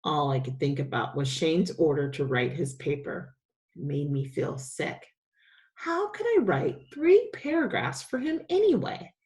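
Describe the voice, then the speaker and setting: Lady teacher reading a kids book out loud, lowish voice that moves around a lot. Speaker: teacher reading aloud to pupils. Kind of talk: expressive children's story read-aloud.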